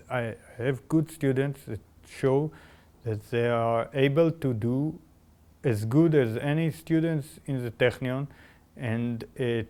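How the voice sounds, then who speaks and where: A male professor with an Israeli accent talks in a conversational podcast manner. His voice sits at a middling pitch, and he sounds enthusiastic as he explains things in non-native English phrasing. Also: a little mellow. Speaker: biotechnology professor.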